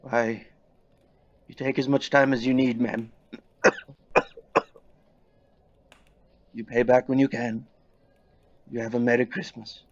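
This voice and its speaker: A man acts out an elderly reformed miser in a Victorian storybook drama. He speaks slowly and haltingly, with earnest, tender courtesy. The pitch is middling and the recording is dull and lo-fi. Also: little pitch movement, close to an everyday voice. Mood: gentle, earnest. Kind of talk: audio play acting of Victorian storybook.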